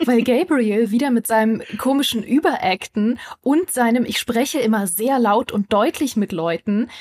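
A woman chats on a podcast with a slightly high, husky voice. She explains things in an engaged, amused way, keeping the conversation moving. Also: moderate pace, a little mellow. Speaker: podcast co-host. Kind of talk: casual podcast chat.

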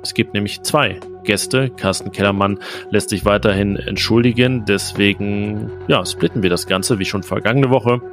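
A man's voice: fairly low pitch, moderate pace, a bit bright, faint music behind. A man hosting a casual football podcast, chatty with frequent fillers. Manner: conversational, filler-heavy. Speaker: podcast host, sports reporter.

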